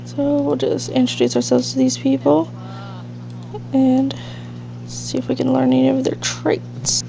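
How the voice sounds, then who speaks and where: A woman's voice: high voice, big pitch swings, a little slow, creaky, dark tone. A woman with a nasal, stuffed-up allergy voice giving casual, rambling gameplay commentary as the host of a let's play. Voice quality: nasal, congested, sniffly. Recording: dull lo-fi mic with faint hiss and hum.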